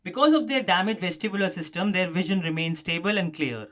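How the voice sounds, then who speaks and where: High-pitched male news presenter. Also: animated, fairly quick, fairly bright tone. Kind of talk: scripted explanatory news narration.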